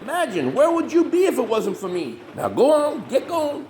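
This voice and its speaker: Bossy high-pitched hillbilly monster hunter. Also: male voice, huge pitch swings, moderate pace, darkish tone, a little hiss or hum, full character voice. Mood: mock indignant. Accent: rural Southern US, hillbilly dialect. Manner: folksy, self-righteous, glib. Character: bossy, shameless hillbilly monster hunter, scheming older brother. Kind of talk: comedy horror audio drama acting.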